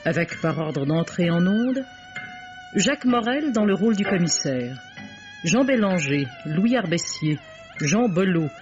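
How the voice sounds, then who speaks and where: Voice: medium pitch, lively intonation, unhurried, lo-fi sound. A radio announcer formally reading a scripted programme introduction and credits on a vintage recording. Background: music.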